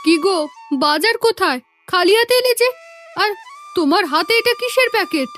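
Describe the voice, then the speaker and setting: Woman as a very high-voiced village mother. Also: animated, normal speed, a bit bright. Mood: questioning. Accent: Bengali. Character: village wife and mother, caring, anxious. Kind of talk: Bengali fairy tale cartoon dubbing. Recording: music under the voice.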